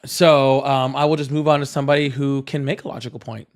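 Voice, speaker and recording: A man's voice: mid-range voice, level tone, fairly quick. A man hosting a live call-in political debate in a casual style, reading out viewer messages, sarcastic and dismissive with callers.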